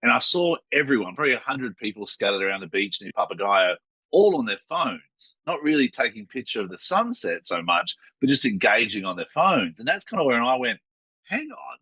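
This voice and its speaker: Brief: male host, low husky voice, bright and forceful, dry close sound. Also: big pitch swings, a little fast, no echo, low-quality recording. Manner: upbeat and chatty. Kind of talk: casual interview.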